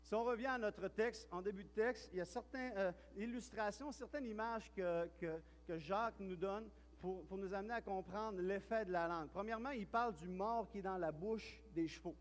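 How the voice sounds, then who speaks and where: A man with a Quebec French accent preaches in a church hall, his voice high, and long reverb trails each phrase. He talks in a relaxed, storytelling way, stumbling and repeating words as he goes. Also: normal speed, hardly any pauses. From Quebec, Canada. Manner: chatty, storytelling, with frequent restarts and repeated words. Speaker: pastor. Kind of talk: sermon.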